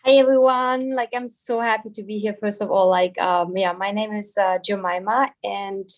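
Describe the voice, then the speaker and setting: A woman's voice: medium pitch, steady tone, moderate pace, dull audio. A woman from Germany with a German accent, a guest trainer chatting in a webinar, using lots of "like" fillers. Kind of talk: casual webinar talk.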